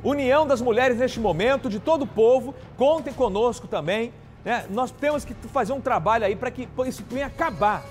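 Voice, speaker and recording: A man's voice: very high voice, brisk, a little hiss or hum. A man from anchoring a crime news show, talking emphatically and indignantly straight to viewers. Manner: indignant, repeats and addresses viewers directly. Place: TV studio.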